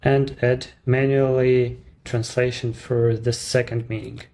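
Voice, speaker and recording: A man with a Ukrainian accent, a native Ukrainian speaker, walking through a software demo in English in a measured, explanatory way. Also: mid-range voice, flat delivery, gravelly. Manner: measured, explanatory, slightly non-native phrasing. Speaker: app creator presenting his service. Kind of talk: screen-recorded software walkthrough.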